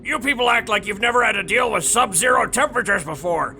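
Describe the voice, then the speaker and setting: A man playing a grouchy, defensive veteran handyman and guard in an audio drama, loud, blustering and mocking. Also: sits high, quick pace, creaky, slight background noise, full character voice. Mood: defensive, irritated.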